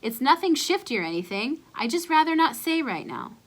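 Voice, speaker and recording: Storybook uncle, played by a female reader: breezy young wise guy, teasing, slangy, animated delivery. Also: high voice, brisk, voice pushed up. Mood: grumbling, evasive. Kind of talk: children's storybook read-aloud.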